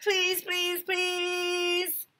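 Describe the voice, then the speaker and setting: Woman doing high, squealing, excitable schoolkids. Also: heavily acted. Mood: pleading. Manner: exclamatory, begging in drawn-out repeats. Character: excited schoolchildren, boys and girls, pleading and cheering. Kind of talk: children's storybook read-aloud.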